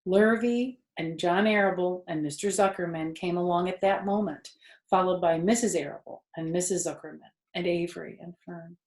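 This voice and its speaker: A woman reading a children's story aloud expressively, pausing to explain things to young listeners. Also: lowish voice. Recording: roomy indoor echo.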